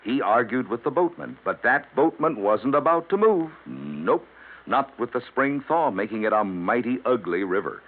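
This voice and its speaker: A man narrating a folk tale in a measured, folksy, relaxed way. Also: mid-range voice. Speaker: storyteller. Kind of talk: folklore storytelling narration. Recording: tinny old radio broadcast.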